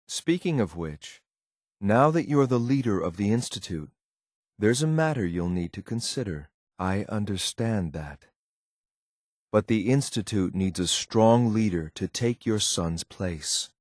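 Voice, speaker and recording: An actor playing a stern, loyal synthetic agent in a video game, speaking in a clipped, formal, deadpan military way. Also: male voice, fairly low pitch, no echo, studio quality. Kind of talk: scripted game character lines.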